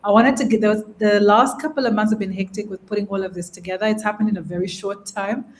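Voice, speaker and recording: A woman from Uganda, presenting her property deals to a judging panel over a live call in a measured, businesslike way. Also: mid-range voice, fairly even intonation, slight background noise. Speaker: presenting investor.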